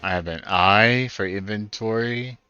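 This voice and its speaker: Deep, crisp-voiced man musing over a game. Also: measured pace, bright, forceful tone, highs cut off. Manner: thinks aloud, musing. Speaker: gaming streamer. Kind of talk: casual first-time playthrough commentary. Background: game music.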